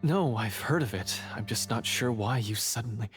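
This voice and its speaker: Actor as a troubled, low-voiced game swordsman. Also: animated, natural voice. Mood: confused, troubled. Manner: halting, troubled, confessional. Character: remorseful younger man, bitter heir of a monster-hunter family. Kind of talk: dramatic video game voice acting. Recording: background music under the dialogue.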